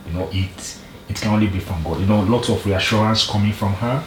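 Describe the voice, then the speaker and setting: A middle-aged man with a Nigerian accent, sharing slowly and haltingly with many restarts in a group talk. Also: fairly low pitch. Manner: slow, halting, with repeated restarts. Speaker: group member, father. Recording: roomy, distant mic, faint noise.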